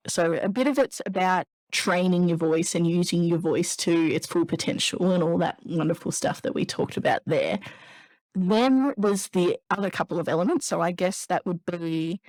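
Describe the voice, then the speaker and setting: A woman's voice: lowish voice, a little mellow, dry recording. A woman hosting a podcast, enthusiastic, asking long rambling questions. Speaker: podcast host. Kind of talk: casual interview chat.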